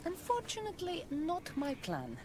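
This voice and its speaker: A young mercenary heroine in a video game. She is resolute and direct, with earnest, lively intonation over a music bed. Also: very high-pitched, normal speed, exaggerated voice. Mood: earnest, wry. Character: resolute, plain-spoken young mercenary woman. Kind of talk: video game character acting. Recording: game dialogue under clear music.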